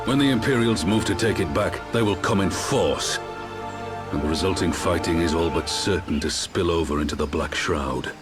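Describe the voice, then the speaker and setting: An actor playing a stern military leader in a fantasy game, with a decisive, authoritative and formal delivery. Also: male voice, sits low, normal speed, music in the background, lightly acted. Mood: scornful, grim. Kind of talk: fantasy game cutscene acting.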